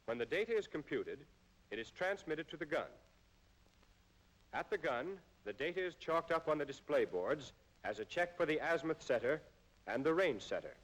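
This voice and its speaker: An American man reading scripted instructional narration in a formal, measured way, as in an old military training film. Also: a little high, big pitch swings, fairly bright tone, poor audio.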